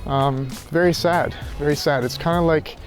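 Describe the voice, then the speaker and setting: Male walk-and-talk vlogger, slightly raised pitch, reflective and halting, casual delivery, light music underneath. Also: fairly even intonation. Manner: reflective, halting, thinking aloud. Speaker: philosophy tutor vlogger. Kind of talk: casual rambling monologue while walking. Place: outdoors, walking.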